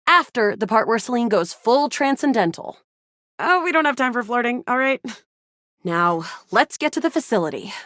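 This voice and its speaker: A feisty young sci-fi adventurer from a video game. Her voice sits high and swings widely with excitable, playful drama. The sound is dry and close, with no room around it. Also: moderate pace, dry recording. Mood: brisk, playful. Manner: animated, dramatic, playful banter. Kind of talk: video game character voice acting.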